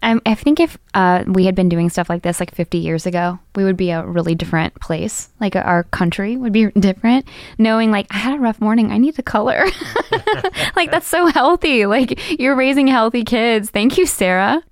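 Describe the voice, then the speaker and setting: A woman's voice: medium pitch, normal speed, no room sound, studio quality. Gushing, informal woman co-hosting a podcast. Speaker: podcast co-host. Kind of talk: casual enthusiastic chat.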